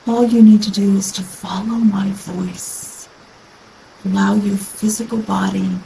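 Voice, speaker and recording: A woman guiding a yoga nidra meditation in a soothing, unhurried manner with long pauses, her phrases trailing into breathiness. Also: lowish voice, creaky, mellow, slight background noise, rough recording. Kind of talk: guided meditation.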